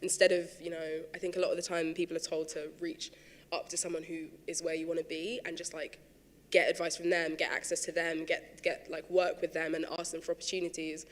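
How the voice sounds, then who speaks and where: A man's voice: fairly high pitch, monotone, a little fast, long reverb tail. A man moderating a panel on stage, hedging and linking questions between speakers. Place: large theatre stage.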